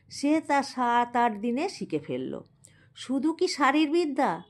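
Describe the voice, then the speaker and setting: Slow-paced woman storyteller. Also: on the low side. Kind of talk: scripted story narration, reading a Bengali humorous folk tale aloud.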